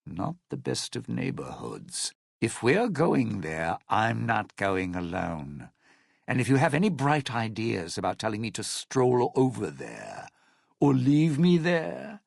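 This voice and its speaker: A suave, scheming lounge-singer conman for a video game. His voice is raspy and gravelly, swooping widely in pitch as he delivers sardonic showbiz patter. He takes his time over every slangy, cynical line. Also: no room sound, studio quality, exaggerated voice. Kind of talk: video game character voice lines.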